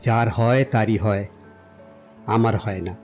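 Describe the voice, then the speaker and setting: An actor playing a self-assured young romantic in an audio drama, speaking in a poetic, declamatory and philosophizing way. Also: male voice, fairly low pitch, slow, darkish tone. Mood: earnest, explaining. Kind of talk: dramatized audio story acting. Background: background music.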